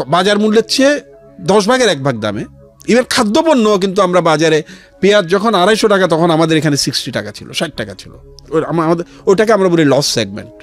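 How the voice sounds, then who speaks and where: Male radio guest, Bangladeshi accent, lightly high-pitched and very expressive, earnest explainer who drops in English. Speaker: interview guest, founder of a charity resale platform. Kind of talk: conversational storytelling in a radio interview. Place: radio studio.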